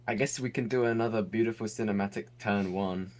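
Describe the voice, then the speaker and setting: A man's voice: lowish voice, fairly even intonation, fairly quick, a little mellow. A young man from England giving casual online race commentary from his computer, chatty and laughing often.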